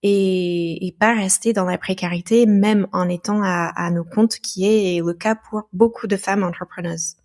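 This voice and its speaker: Woman from the United States, halting. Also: medium pitch, steady tone, darkish tone. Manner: thoughtful, halting, with frequent restarts. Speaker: podcast guest, founder of a training company. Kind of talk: casual podcast conversation.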